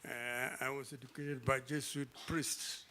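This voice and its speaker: A man from Cameroon asking a long, halting question from the audience at a panel event. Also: mid-range voice, level tone, pushed and bright. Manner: halting, with many pauses and fillers.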